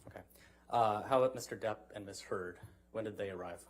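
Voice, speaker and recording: Male attorney for courtroom questioning: brisk, methodical delivery, lowish pitch. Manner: methodical, probing. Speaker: lawyer questioning a witness.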